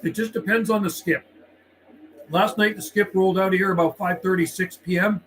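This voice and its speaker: A man's voice: sits high, fairly even intonation, brisk, fairly bright tone, rough recording. A man working as a CB radio operator on a livestream, mixing radio calls with laid-back, friendly, slangy chat. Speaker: CB radio operator and live streamer.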